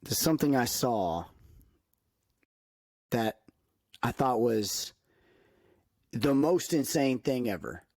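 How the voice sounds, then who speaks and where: Animated guy on a podcast, voice bouncing all over, dry close mic. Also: medium pitch, no echo. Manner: animated, emphatic storyteller, halting restarts. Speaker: podcast co-host. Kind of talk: casual podcast chat.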